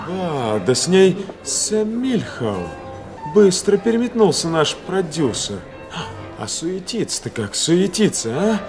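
A man's voice: high voice, very animated, slow pace, music in the background, exaggerated voice. An actor playing a gossipy, sardonic young man of the theatre in a radio play, exclamatory and mocking. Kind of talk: Russian radio play acting.

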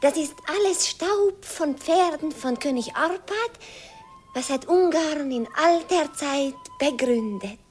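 An actress playing a sweet, naive young country girl with a Hungarian accent and halting, artless speech in a 1950s radio play. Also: high-pitched, animated, soft background music. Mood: artless, curious. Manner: halting, artless broken German.

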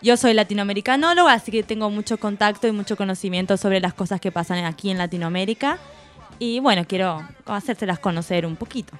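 A woman's voice: medium pitch, fast talker, natural voice. A woman playing a pompous, easily offended self-styled expert who talks in a theatrical, wounded, self-important way on a radio comedy show in a studio. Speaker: radio columnist character.

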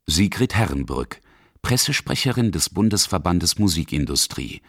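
A man's voice: low-pitched, sing-song, moderate pace, fairly bright tone, slightly rough, dry recording, clean studio sound. A man reading scripted narration for a radio feature as its narrator. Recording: music under the voice. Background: music.

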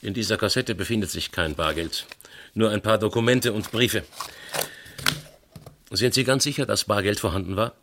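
An actor playing a dry, impatient police inspector who questions methodically, in a radio crime drama. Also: male voice, fairly low pitch, moderate pace, pauses often, noisy background, close to an everyday voice. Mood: probing.

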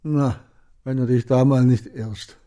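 An actor playing a kindly, wise older shopkeeper in a radio drama, gentle, patient and a little teasing. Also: male voice, medium pitch, fairly even intonation, measured pace, close to an everyday voice. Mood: kindly encouraging.

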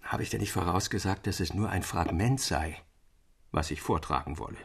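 An actor playing a storyteller among literary friends, speaking in a measured, formal and expressive way. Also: male voice, low voice, gravelly, slightly rough, no echo, exaggerated voice. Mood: calm, explaining. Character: male storyteller Theodor, literary friend reading his tales, defending fragments. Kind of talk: literary audio drama narration.

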